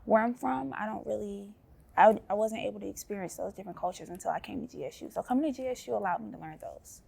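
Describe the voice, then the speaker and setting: A young woman from Georgia, a journalism student, answering earnestly and softly with many fillers. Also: mid-range voice, fast, gravelly, husky. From Albany, Georgia. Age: young adult. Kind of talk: casual interview.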